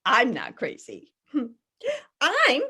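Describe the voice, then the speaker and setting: A woman playing an unhinged woman in a comic stage monologue, halting, giggly and theatrical. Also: sits high, wildly swooping pitch, sharp tone, breathy edge, heavily acted. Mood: manic, defensive. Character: unhinged woman insisting she is sane.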